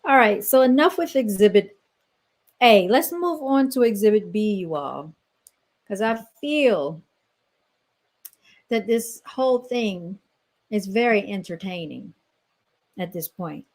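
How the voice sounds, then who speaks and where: A woman's voice: mid-range voice, lively intonation, takes it slowly, pauses often, a little mellow. A woman with a mid-range voice hosts a casual commentary podcast. She talks straight to her listeners in a chatty, mocking tone. Her delivery is loose and informal. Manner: chatty and sarcastic, laughs often, keeps addressing listeners. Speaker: podcast host. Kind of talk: casual commentary reacting to clips.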